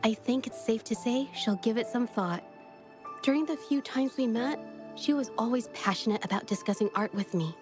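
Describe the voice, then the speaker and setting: A woman playing an earnest, shy young woman painter in a video game, speaking in a gentle, hesitant way. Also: fairly high pitch, moderate pace, over music, close to an everyday voice. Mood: earnest, wistful. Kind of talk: video game story dialogue acting.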